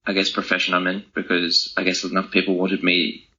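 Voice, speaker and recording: A man's voice: deep voice, completely flat delivery, a little fast, sharp tone, husky. A young man answering interview questions in a casual, reflective way, thinking aloud in informal language. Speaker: interview guest, author and speaker. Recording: dull, lo-fi audio.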